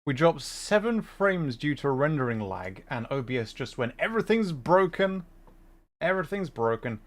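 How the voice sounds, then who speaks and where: A man hosting a gaming livestream, chatting casually and musing aloud in a halting way. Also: a little high, very animated. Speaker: livestream host.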